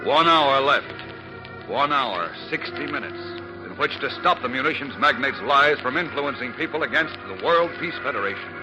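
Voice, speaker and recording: A man's voice: mid-range voice, lively intonation, normal speed, natural voice, low-quality recording. A man narrating a 1940s radio serial in a grave, suspenseful, theatrical way. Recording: old radio broadcast under loud music.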